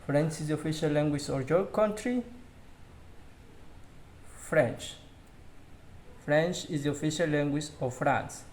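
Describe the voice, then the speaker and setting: A man whose first language is Spanish, slowly reading English question-and-answer drills aloud as a learner, with small grammar slips. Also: on the high side, darkish tone, a little hiss or hum. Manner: slow, careful practice reading with slips.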